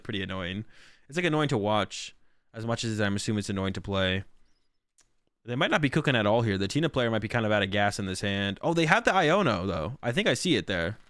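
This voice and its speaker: A man's voice: medium pitch, a bit bright, dry recording, clean studio sound. A man who is sick and losing his voice, rambling through casual stream commentary with lots of fillers. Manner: rambling, filler-heavy, thinks aloud.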